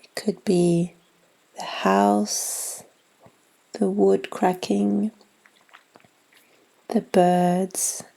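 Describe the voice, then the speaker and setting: A woman leading a guided yoga nidra meditation in a soft, gentle, unhurried way, with long pauses and phrases that trail toward breathiness. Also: medium pitch, level tone, gravelly, poor audio.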